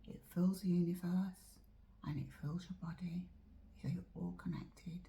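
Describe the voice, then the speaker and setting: Woman, meditation teacher, whispered guidance, slow, dark mellow tone, slightly chesty voice. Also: fairly low pitch, steady tone, creaky, slight background noise. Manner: soft, often whispered delivery; slow and drawn-out. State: losing her voice, chesty. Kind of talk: guided meditation.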